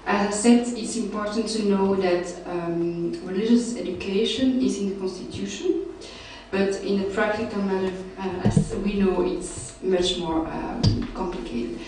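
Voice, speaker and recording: A woman's voice: medium pitch, a little slow, background noise. A young woman from Belgium presenting her research at a panel table, with many fillers and restarts. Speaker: researcher presenting at a summer school. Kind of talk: academic conference presentation. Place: large lecture hall, seated at a panel table. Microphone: table mic, recorded by a far camera. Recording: very echoey hall, voice far from the mic.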